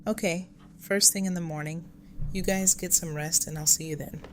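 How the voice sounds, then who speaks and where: A woman playing a brisk, authoritative leader in an audio drama. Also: fairly low pitch, fairly even intonation, lightly acted. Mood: decisive. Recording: clear background noise.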